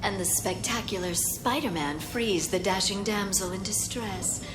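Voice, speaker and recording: A woman's voice: mid-range voice, a little slow, over loud music, lightly acted. An actress playing a teasing, sly female escapee in a video game, mocking and theatrical. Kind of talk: video game cutscene acting.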